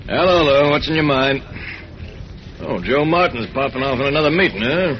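A man's voice: mid-range voice, expressive, moderate pace, a crowd in the background, lightly acted. An actor playing a crooked, calculating middle-aged American political boss, with slangy, dismissive tough-guy talk in a 1940s radio drama. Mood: cocky. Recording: muffled old radio recording, busy room murmur.

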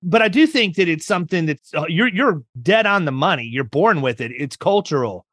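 A middle-aged man hosts a podcast with a bright, somewhat high voice. He talks in a folksy, joking, rambling way. The sound is close and dry. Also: animated, brisk, dry recording. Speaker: podcast host. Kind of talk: casual interview chat.

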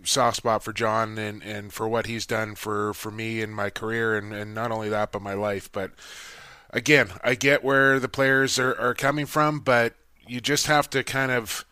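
A man's voice: fairly low pitch, flat delivery, moderate pace, pushed and bright, clean tone, no room sound. A man hosting a sports talk show, chatting casually and teasing his guest. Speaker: podcast host.

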